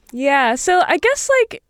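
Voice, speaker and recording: A woman in her early thirties chatting casually as an interview guest, explaining things in a thoughtful, halting way. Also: sits high, no echo, studio quality. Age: early 30s. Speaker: podcast guest.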